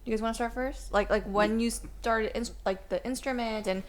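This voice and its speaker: Bubbly, higher-voiced young woman host. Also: fairly even intonation, normal speed. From Canada. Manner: upbeat, chatty, many "like" fillers. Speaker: podcast host, first violinist. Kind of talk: casual podcast chat.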